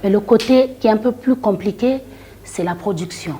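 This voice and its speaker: A middle-aged woman from the Congo, a singer and storyteller, answering interview questions in a hesitant way, with many restarts and fillers, often trailing off quietly. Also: medium pitch, moderate pace, slight background noise. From Republic of the Congo.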